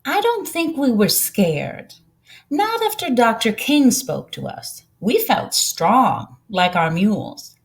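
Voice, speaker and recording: A woman voicing a kindly, proud old Southern farm woman who tells her story in a folksy, unhurried way for a storybook. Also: on the high side, very animated, voice pushed up, heavily acted. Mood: proud, earnest.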